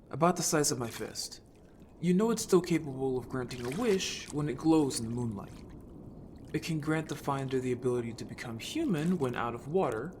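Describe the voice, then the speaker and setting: A man's voice: medium pitch, noisy background, close to an everyday voice. Man voicing a youthful, casual merman, watery backdrop. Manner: casual, informal, explanatory. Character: youthful, eager, evasive male merfolk. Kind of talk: audio drama roleplay.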